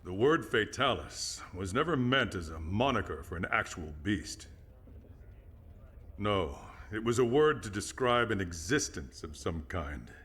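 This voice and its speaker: A man playing a solemn, knowledgeable veteran in a video game, speaking gravely and deliberately. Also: fairly low pitch, big pitch swings, fairly bright tone, heavily acted. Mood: solemn, explanatory. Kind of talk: video game cutscene acting.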